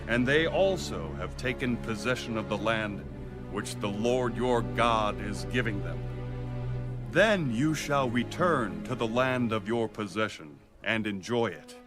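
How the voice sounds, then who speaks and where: An actor playing a resolute military leader in a dramatized audio Bible, solemn and authoritative. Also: male voice, on the low side, lively intonation, a little slow. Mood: solemn command. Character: resolute male military leader addressing his people. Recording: music under the voice.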